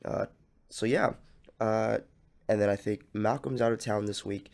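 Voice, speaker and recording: A young man hosts a laid-back solo podcast, his lowish voice scratchy and hoarse from coughing. He rambles along with fillers and restarts, and a gravelly fry runs through his speech. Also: fairly even intonation, measured pace, a little mellow. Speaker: podcast host. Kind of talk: casual solo podcast talk.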